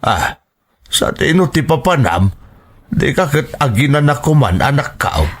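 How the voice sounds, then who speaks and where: A man with an Ilocano accent playing a husky-voiced working-class father in an audio drama. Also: medium pitch, big pitch swings, measured pace. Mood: pressing, grumbling.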